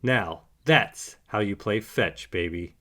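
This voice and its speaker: A man's voice: fairly low pitch, slow pace, a bit bright. A man voicing an eager, earnest young male puppy hero in a children's storybook, upbeat and encouraging. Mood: encouraging, realizing.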